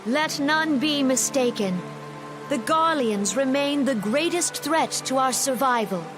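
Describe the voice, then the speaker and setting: An actress playing a solemn, compassionate leader of a forest nation, giving a formal memorial speech in a video game with stately, measured delivery. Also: female voice, sits high, lively intonation. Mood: grave, resolute. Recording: music playing behind the voice.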